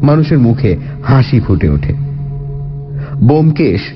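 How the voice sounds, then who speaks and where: A man narrating a scripted literary audio story in an expressive storytelling manner. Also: medium pitch, unhurried. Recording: slightly dull recording under loud music. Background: background music.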